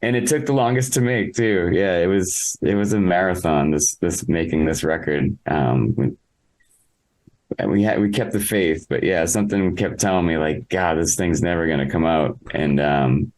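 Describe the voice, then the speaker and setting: A man talking casually as an interviewed musician, halting and reflective as he thinks aloud. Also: low voice, darkish tone, low-quality recording. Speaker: interviewed musician, singer in a band.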